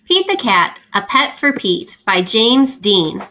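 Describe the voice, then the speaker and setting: Woman, school read-aloud host, high lively voice, warm teacherly asides. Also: slow, a little hiss or hum, low-quality recording. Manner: gentle, animated reading with teacherly asides to children. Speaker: school librarian reading aloud. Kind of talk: children's read-aloud storytelling. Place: home.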